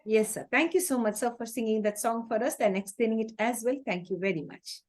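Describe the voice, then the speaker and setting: A woman's voice: fairly high pitch, brisk, a little mellow. A woman interviewer asking courteous, formal questions.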